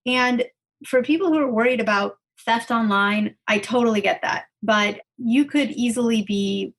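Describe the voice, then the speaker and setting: A woman's voice: on the high side, normal speed, a bit bright. A woman hosting an interview in a chatty, conversational way, affirming what her guest says. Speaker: podcast host interviewing a guest.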